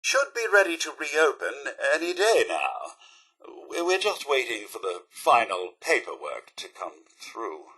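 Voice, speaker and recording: Robot butler, British accent, bright cutting tone, rough rasp, prim and theatrical, fussy servant manner, video game character. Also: male voice, mid-range voice, huge pitch swings, unhurried, exaggerated voice. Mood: courteous, prim. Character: fussy, polite robot butler, male, dryly resentful.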